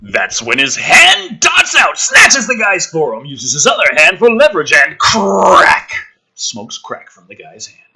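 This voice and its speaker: A man hosting a theatrical script reading, with dramatic emphasis and sarcastic, exasperated commentary in a rough-edged voice. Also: sits high, wildly swooping pitch, a little slow, sharp tone. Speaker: host reading and mocking a film script.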